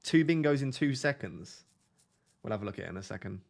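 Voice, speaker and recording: A young man hosting a live stream, chatting casually with his viewers in a flat, monotone, self-deprecating way. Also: lowish voice, brisk, gravelly. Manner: self-deprecating, chatty, reads out chat messages. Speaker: livestream host.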